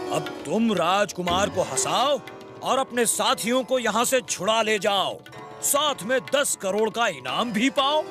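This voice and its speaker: A man voicing a bossy, easily angered king in a Hindi children's cartoon, exclaiming his orders imperiously. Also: sits high.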